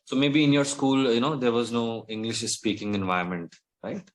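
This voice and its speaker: A man tutoring English, asking questions patiently and gently correcting his student on a video call. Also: medium pitch, normal speed. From India. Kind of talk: tutoring conversation.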